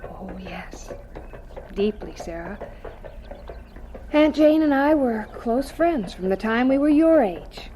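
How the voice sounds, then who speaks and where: Radio drama mother played by a woman: gentle, dignified, sincere, fairly high voice with lively swings. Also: slow pace, long pauses, a little hiss or hum. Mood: tender, wistful. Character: gentle, devout farm mother with quiet dignity.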